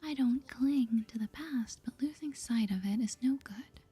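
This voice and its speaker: A woman softly whispering an ASMR game playthrough in a gentle, playful way, reading dialogue aloud and thinking out loud. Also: a little high, unhurried, soft background music. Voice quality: breathy, mostly whispered. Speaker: gaming video host.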